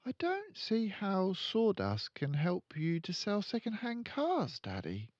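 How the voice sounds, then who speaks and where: A man's voice: sits high, wildly swooping pitch, slow, dry recording, dull audio, voice pushed up, full character voice. A man voicing a clever, polite little girl in a storybook, innocently questioning and slyly needling. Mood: innocently curious.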